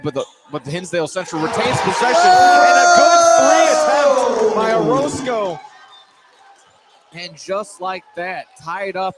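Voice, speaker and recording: A man calling live basketball play-by-play as the announcer. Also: on the high side. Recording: noisy gym ambience, ball bounces. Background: basketball game in a busy gym.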